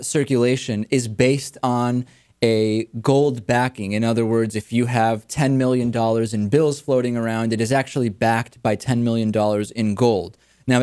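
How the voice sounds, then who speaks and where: A man's voice: mid-range voice, a little mellow, clean tone. An American man hosting a talk show, giving conversational commentary and reading out audience mail.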